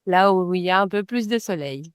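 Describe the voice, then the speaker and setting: A woman's voice: lowish voice, animated, fairly quick, clean tone, no room sound, clean studio sound. A woman, a women's health coach answering interview questions casually and hesitantly, with many fillers. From Belgium.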